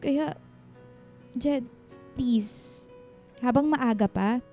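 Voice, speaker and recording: A woman's voice: on the high side, very slow, dark tone, soft background music. A woman with a Filipino accent playing an anxious, guarded single mother in a radio drama, speaking in a hesitant, emotional, pleading way. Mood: fearful, pleading.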